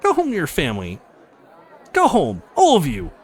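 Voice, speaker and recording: Guy reading as a preachy angry protest lady in a visual novel, scolding everyone, swingy pitch. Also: huge pitch swings, normal speed, slightly rough, soft background music, some chatter behind, heavily acted. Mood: scolding, outraged. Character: indignant self-righteous woman protester berating a club's customers. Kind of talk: acted visual novel dialogue.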